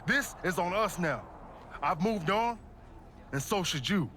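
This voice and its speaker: A proud, defiant pro wrestler in a game cutscene. His voice is fairly high, bright and forceful, slangy and emphatic, over faint background noise. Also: expressive, unhurried. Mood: resolute. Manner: slangy, emphatic motivational delivery. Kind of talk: video game cutscene dialogue.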